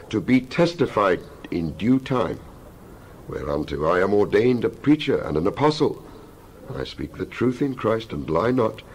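A man's voice: medium pitch, a little mellow, low-quality recording. Solemn man reading scripture, widely swooping intonation. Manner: solemn, emphatic, measured oratory. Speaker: Bible narrator. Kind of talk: dramatized scripture reading, King James English.

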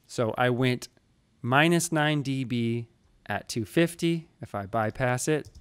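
A man's voice: medium pitch, slow pace, clean tone. Man, thirties, explanatory, casual tutorial tone, close studio mic, small home studio. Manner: relaxed, explanatory, conversational. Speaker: audio engineer teaching. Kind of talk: screen-recorded tutorial. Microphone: studio mic in frame, wearing headphones.

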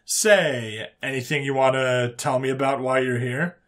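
A man voicing a cheerful, folksy human interrogator in a narrated sci-fi story, calm and teasing in colloquial phrases. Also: mid-range voice, measured pace, lightly acted. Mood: slyly teasing.

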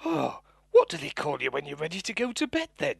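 A woman's voice: low voice, wildly swooping pitch, brisk, creaky, slightly rough, no echo, studio quality, exaggerated voice. A woman voicing a cheeky male wolf, teasing colloquially in a comic puppet show.

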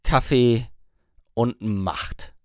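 A man narrating a scripted audiobook story in an expressive, measured way. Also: mid-range voice, no room sound, clean studio sound.

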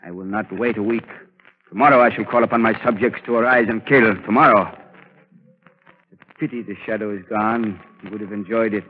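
An actor playing an arrogant megalomaniac mind-reader villain in a 1930s radio drama, pompous, imperious and theatrical. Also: male voice, fairly low pitch, steady tone, a little fast, natural voice. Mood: scheming, brooding. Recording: muffled, noisy 1930s radio recording, reverberant.

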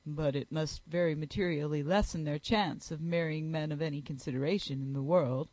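A woman reading aloud, voicing a proud, reserved young gentleman with a cool, measured delivery in a lowered voice.

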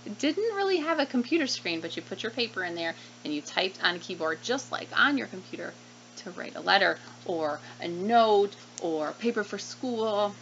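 Woman in her thirties, bright animated children's read-aloud, child-directed questions, slightly dull webcam recording, faint noise. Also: mid-range voice, moderate pace. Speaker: teacher reading aloud to children. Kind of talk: expressive picture-book read-aloud with asides. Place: small room indoors at desk.

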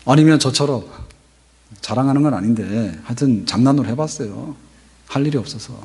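Husky pastor preaching in a reverberant hall. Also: male voice, mid-range voice, brisk, darkish tone. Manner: conversational preaching, rhetorical questions, gestures with hands. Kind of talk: sermon. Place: large church sanctuary, at a pulpit. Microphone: pulpit microphone on stand.

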